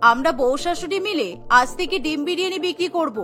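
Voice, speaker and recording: A woman acts a protective old mother from a cartoon. She is doting yet firm and emphatic, with a very high, bright voice. Faint music plays underneath. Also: quick pace. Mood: scolding. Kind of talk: cartoon voice acting.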